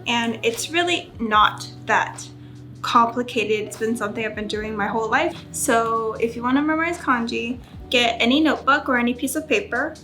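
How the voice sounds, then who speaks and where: A woman's voice: on the high side, normal speed, sharp tone. A woman chatting casually as a study vlogger, carefully sounding out vocabulary as she learns. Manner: self-conscious, careful when pronouncing foreign words. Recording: background music under voice. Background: music.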